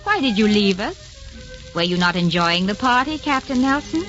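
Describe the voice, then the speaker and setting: Vintage muffled radio drama, gracious lady, wistful, poised, animated intonation. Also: medium pitch, moderate pace, faint music behind. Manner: refined, poised, expressive. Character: gracious, wistful young society lady, ambassador's wife. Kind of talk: 1940s radio drama acting. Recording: dull old radio recording.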